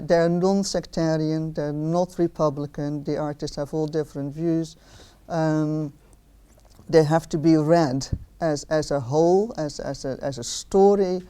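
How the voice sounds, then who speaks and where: A woman's voice: low-pitched, dark tone, clean tone. A woman from the Netherlands with a Dutch accent, giving a public talk and hesitating often with ums and restarts. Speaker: philosopher of art, interviewer. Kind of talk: public talk with many fillers. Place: outdoor garden event.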